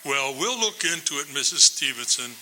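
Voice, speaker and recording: A man's voice: medium pitch, moderate pace, a little hiss or hum, poor audio. A man playing a plodding New desk sergeant. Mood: businesslike. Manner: flat, patient, with clipped replies. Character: gruff, plodding male police desk sergeant. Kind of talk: live radio-theatre acting before an audience.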